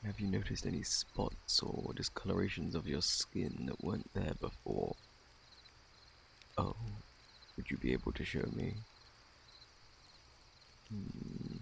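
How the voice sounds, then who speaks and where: A very deep, gravelly British male plague doctor acted by a man speaks softly, as in an ASMR roleplay. His phrasing is formal and fussy, with lively swoops in pitch and a faintly sinister politeness. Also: slight background noise, exaggerated voice. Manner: formal, fussy, self-assured. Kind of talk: ASMR roleplay, soft close speech.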